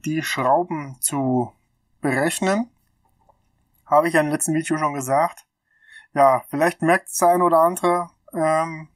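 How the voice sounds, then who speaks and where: A man's voice: fairly high pitch, no echo. Stuffy-nosed man explaining calculations. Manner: chatty, thinks aloud with fillers. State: stuffy from a cold. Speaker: channel host. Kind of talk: casual technical walkthrough. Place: small room indoors. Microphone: laptop webcam mic.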